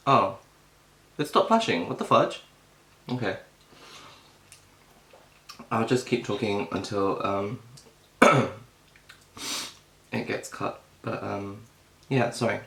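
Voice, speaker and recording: A young British man chatting casually and self-deprecatingly to camera, clearing his throat now and then. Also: fairly low pitch, slow, long pauses, a bit bright, echoey room. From Britain. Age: young adult. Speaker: vlogger. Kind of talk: casual mukbang chat.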